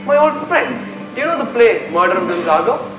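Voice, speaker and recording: Brooding young prince played by a young man, high-pitched, declamatory Shakespearean delivery, reverberant hall recording. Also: very animated, a little fast, heavily acted. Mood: brisk, commanding. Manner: declamatory, dramatic. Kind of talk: theatrical Shakespearean stage acting. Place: school stage performance in a hall. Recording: echoey stage recording, loud music.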